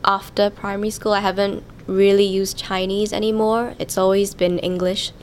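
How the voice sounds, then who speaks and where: Young woman, student interviewee, slow and halting delivery, mid-pitched voice. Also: little pitch movement, a little hiss or hum. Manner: slow and halting, with many "um" pauses. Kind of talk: casual interview answers.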